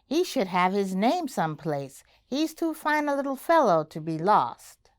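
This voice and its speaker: A kindly young artist from a storybook, read by a woman as the man. He muses aloud with emphasis and easy amazement, his pitch moving in a lively way. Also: measured pace. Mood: kindly musing.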